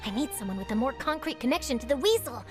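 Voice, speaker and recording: A woman playing an eager young journalist chasing a scoop, brisk, upbeat and theatrical. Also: high voice, lively intonation. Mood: excited. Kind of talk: video game voice acting. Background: game score music.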